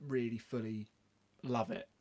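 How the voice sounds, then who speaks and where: A man's voice: medium pitch, little pitch movement, a little slow, darkish tone. Rambling, easy-laughing male podcast host. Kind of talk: casual chat.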